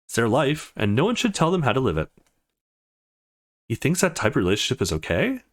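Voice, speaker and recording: Man reading aloud with very animated pitch. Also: fairly quick. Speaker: let's play host. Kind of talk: reading visual novel dialogue aloud.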